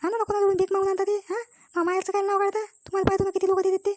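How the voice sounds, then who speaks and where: A woman voicing a scolding, sharp-tongued village wife in a comic cartoon, with a rural Maharashtrian accent and a nagging, threatening manner. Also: extremely high pitch, flat delivery, rapid-fire, no echo, studio quality. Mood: indignant.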